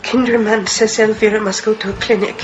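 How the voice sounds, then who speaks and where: A woman's voice: mid-range voice, level tone, fairly quick, muffled recording, music in the background, lightly acted. A woman playing a stern, sorrowful housekeeper and wife in a radio drama, speaking in terse, formal sentences. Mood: grave, bitter. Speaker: actor.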